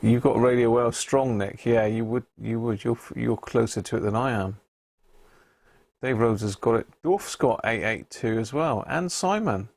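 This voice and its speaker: A man's voice: mid-range voice, moderate pace, vocal fry, darkish tone, no echo. A man hosting a live radio stream, casually commenting as he tunes through stations and reads out viewers' reports.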